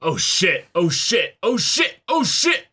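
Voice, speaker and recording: A man's voice: sits high, wildly swooping pitch, slow pace, bright, forceful tone. A man giving a casual, exclamatory live reaction to an anime episode, swearing freely. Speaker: reaction show host. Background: music from the episode being watched.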